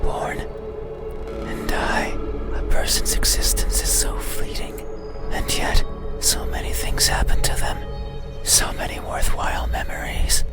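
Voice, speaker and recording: A man playing a lonely, melancholy monster in a horror visual novel, whispering in a breathy voice with halting, formal, brooding phrasing. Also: natural voice. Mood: wistful, bleak. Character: lonely, melancholy male monster stalking a sleeper at night. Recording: whisper under loud music.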